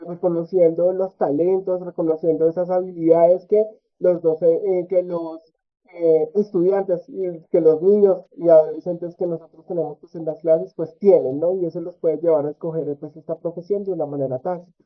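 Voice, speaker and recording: A man giving an academic research presentation at a conference, halting often with filler sounds and tag questions. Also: fairly high pitch, steady tone. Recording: tinny phone-like audio.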